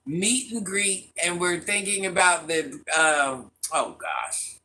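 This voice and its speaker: Woman livestream host, sharp bright voice, teasing and chatty with her chat. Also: on the low side, animated, slow. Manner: teasing, talks straight to viewers by name, laughs often; calls herself Granny. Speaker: livestream host reading viewer comments.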